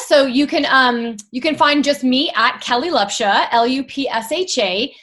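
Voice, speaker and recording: A woman's voice: on the high side, fairly bright tone. A woman speaking as a podcast guest in a conversational, enthusiastic and motivational way. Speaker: podcast guest, biohacking entrepreneur. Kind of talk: conversational interview.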